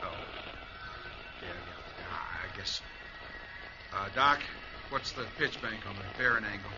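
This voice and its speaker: An actor playing a stern, pious, stubborn astronaut commander in a radio drama. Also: male voice, on the low side, slow pace, heavy background noise. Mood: dazed, then commanding. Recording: muffled sound under loud music.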